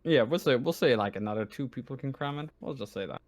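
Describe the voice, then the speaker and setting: A man's voice: mid-range voice, lively intonation, fast, slight background noise. A man running a tabletop game casually as game master, hesitant and rambling, with an apologetic manner.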